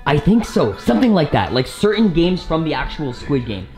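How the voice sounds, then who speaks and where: A man, talking playfully and excitedly to camera as a vlog host. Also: fairly high pitch, normal speed. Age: 20s. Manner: animated, playful, hyped. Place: living room at night. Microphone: handheld vlog camera at arm's length. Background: faint music.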